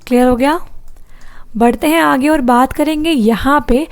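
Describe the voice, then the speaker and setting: A woman's voice: high-pitched, moderate pace. A woman teaching programming in an online exam-prep class, with a sore throat, explaining step by step and repeating key points.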